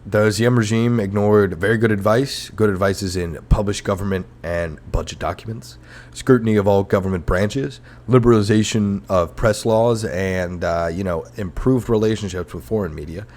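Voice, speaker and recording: A man's voice: fairly low pitch, fairly even intonation, moderate pace, a little mellow. A man hosting a comedic history podcast in a rambling, sarcastic, self-mocking monologue. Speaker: podcast host.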